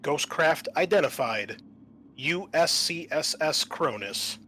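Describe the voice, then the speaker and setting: A man's voice: on the high side, lively intonation, slow, pushed and bright, a little hiss or hum, pitched above the performer's own voice, heavily acted. A man voicing a ship's computer that issues terse, impersonal status reports in a clipped, formal, matter-of-fact way for a tabletop game. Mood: impersonal, reporting.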